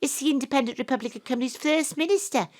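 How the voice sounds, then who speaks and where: A woman's voice: high-pitched, fast, natural voice. A woman playing an exasperated, inquisitive young investigator in an audio drama, exclaiming and questioning. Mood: excited.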